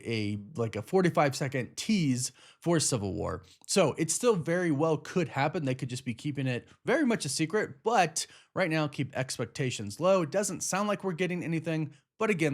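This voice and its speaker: Male host, animated pitch, chatty, thinks aloud, casual rumor commentary. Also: normal speed, hardly any pauses. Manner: chatty, thinks aloud, corrects himself mid-sentence. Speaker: YouTube movie news host.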